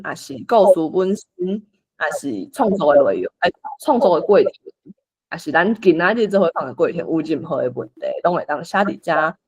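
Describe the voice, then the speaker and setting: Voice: medium pitch, a little mellow, slightly rough, low-quality recording. A person with a Taiwanese accent explaining and organising a group script reading, thinking aloud in an informal way as the facilitator. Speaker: workshop facilitator.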